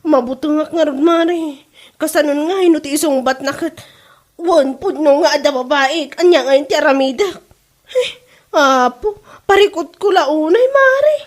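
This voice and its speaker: Woman playing a wronged wife, very high voice, Ilocano accent, whiny, anxious, dramatic radio-style comedy drama. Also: moderate pace, lightly acted. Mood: distressed. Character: wronged wife, anxious, pleading with her husband.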